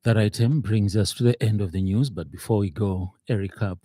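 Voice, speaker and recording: A man reading the evening news bulletin on radio in a measured, formal way, speaking into a studio microphone. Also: lowish voice. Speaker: newsreader.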